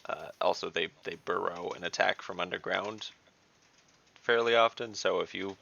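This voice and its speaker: A man playing an online tabletop game, talking casually and haltingly as he restarts his questions. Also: low-pitched, creaky, sharp tone, dull audio. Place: indoors, online voice call.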